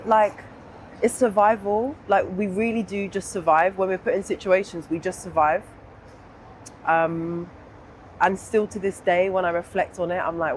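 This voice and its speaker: A young adult woman with a southern English accent speaks candidly and informally in an interview, pausing to think as she reflects. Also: fairly low pitch, a little hiss or hum, rough recording. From England. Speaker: interview guest.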